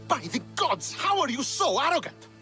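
An actor playing a bitter, proud young Spartan commander in a video game, speaking in a scornful, commanding, clipped way. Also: male voice, very high voice, big pitch swings, moderate pace, sharp tone, soft background music, heavily acted. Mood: angry, accusing. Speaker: military commander. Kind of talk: dramatic video game cutscene acting.

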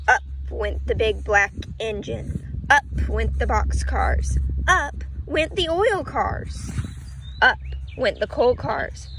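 A woman's voice: on the high side, animated, a bit bright, poor audio. A woman reading a children's picture book aloud in a teacherly way, explaining and asking questions. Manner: teacherly, slow and clearly paced, asking questions. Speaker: read-aloud storyteller for children. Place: outdoors on grass. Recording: outdoor ambient noise behind voice.

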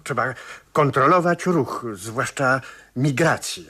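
A man's voice: mid-range voice, animated, slow, sharp tone, slightly rough, heavily acted. An actor playing an officious, suspicious police commissioner in a 1970s radio play, emphatic and slightly stumbling over his words.

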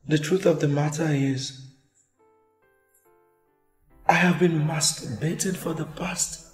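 An actor playing a remorseful man who pleads with his partner for forgiveness. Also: on the high side. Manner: pleading, apologetic. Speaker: actor in a relationship skit. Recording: echoey room with faint music.

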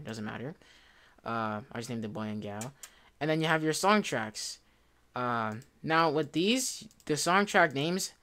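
A young man giving a casual software tutorial in an informal, rambling way, often correcting himself. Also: mid-range voice. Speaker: tutorial presenter. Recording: music behind, keyboard clicks. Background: music, computer typing and clicks.

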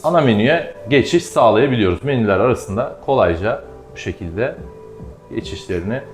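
A man's voice: medium pitch, expressive, brisk, soft background music. A man presenting a live product demonstration in formal, explanatory wording.

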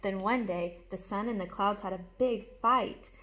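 A young adult woman reading a storybook aloud to children like a teacher, with animated, explanatory asides, recorded in a room at home. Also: medium pitch, moderate pace. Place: small room at home, indoors. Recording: clear background noise, room ambience.

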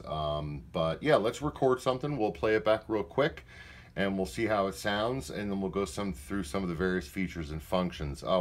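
A man talking casually as he gives a hands-on product demonstration in a room at home. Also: low voice. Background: music playing at times.

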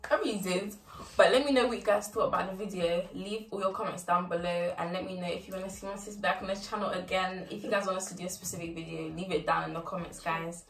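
A woman's voice: on the low side, steady tone, fast, vocal fry, hardly any pauses. A woman in her twenties hosting a casual vlog with her sister, laid-back and giggly, talking to viewers at the end. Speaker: channel host. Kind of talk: casual sibling challenge vlog. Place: living room, indoors. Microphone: far camera. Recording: roomy sound from a distant camera.

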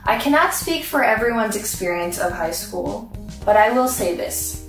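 A woman's voice: mid-range voice, animated, moderate pace, faint music behind. A young woman giving a playful, upbeat graduation speech to her classmates from home. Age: young adult. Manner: playful, upbeat, with comic asides. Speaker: graduating student speaker. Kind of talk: prepared commencement speech. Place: bedroom at home, indoors. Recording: roomy echo, mic at a distance.

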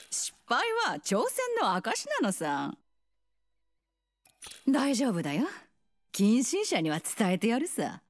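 An actress voicing a brisk, motherly, teasing and quietly ruthless middle-aged woman scientist in a game dub, with playful, theatrical phrasing. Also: fairly high pitch. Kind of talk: Japanese game dub voice lines.

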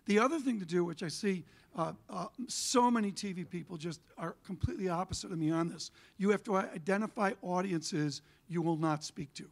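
Older guy on a panel stage, punchy and animated, high voice, handheld mic. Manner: animated, emphatic, gesturing. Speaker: panelist, TV and media figure. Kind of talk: conference panel talk. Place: conference stage, indoors.

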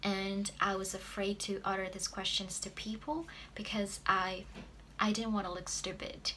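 A woman's voice: mid-range voice, steady tone, moderate pace, bright, forceful tone, a little hiss or hum. A woman in her twenties with an Indonesian accent, telling a personal story to camera in a room indoors. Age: 20s. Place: small plain room indoors.

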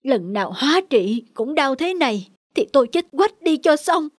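A man's voice: extremely high pitch, very animated, moderate pace, dry recording, clean studio sound. A man voicing a desperate, suffering patient in a cartoon, wailing and strained. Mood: despairing. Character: desperate male cancer patient in agony. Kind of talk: cartoon dub.

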